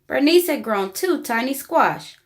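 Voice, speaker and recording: A woman reading a children's book aloud in an expressive, deliberate storytelling style. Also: high voice. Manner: animated, deliberate storybook delivery. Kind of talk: expressive read-aloud narration for young children.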